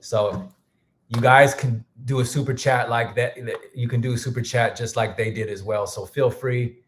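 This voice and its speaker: A man hosting a casual music livestream, rambling loosely with many restarts and thanking viewers, a little hoarse as he starts losing his voice. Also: medium pitch, fairly even intonation, moderate pace, slight background noise. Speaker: music producer and livestream host.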